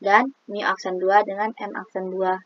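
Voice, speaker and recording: A woman's voice: medium pitch, little pitch movement, normal speed, highs cut off. A woman explaining a statistics exercise, reading formulas aloud step by step with many hesitant fillers. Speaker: student presenting a statistics exercise. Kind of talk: explaining math on screen.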